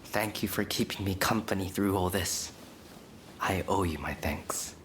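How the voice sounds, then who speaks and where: A man's voice: low voice, a little slow, fairly bright tone, a little hiss or hum. A man voicing a grave, stubborn and loyal ailing samurai swordsman in a dramatic video game cutscene. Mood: grateful.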